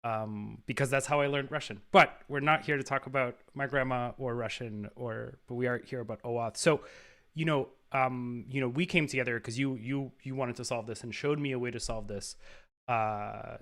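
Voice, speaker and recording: A man's voice: mid-range voice, fairly bright tone. A man hosting a live stream, talking casually in an upbeat way, with lots of fillers and repeated words.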